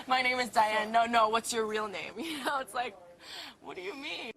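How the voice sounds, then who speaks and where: A woman's voice: on the high side, moderate pace, a loud crowd. A woman of twenty-one from Brooklyn, New York, speaking casually in an interview and acting out the exchanges she describes. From Brooklyn, New York City. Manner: chatty, acts out quoted exchanges, says "like" often. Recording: noisy background.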